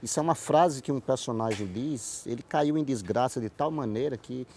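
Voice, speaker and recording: A man's voice: mid-range voice, moderate pace, mellow, a little hiss or hum. A man with a Northeastern Brazilian accent giving conversational interview answers, explaining things and repeating words as he searches for phrasing. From Paraíba, northeastern Brazil. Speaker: guest, writer and playwright.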